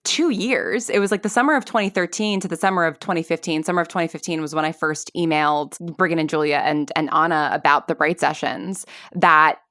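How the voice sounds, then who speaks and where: A woman's voice: deep voice, brisk, hardly any pauses, bright, forceful tone, no room sound, clean studio sound. A woman in her thirties chatting casually as a podcast guest, rambling through stories with lots of filler words like "like" and "sort of". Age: 30s. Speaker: podcast guest, audio drama creator and actor. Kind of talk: casual conversational storytelling.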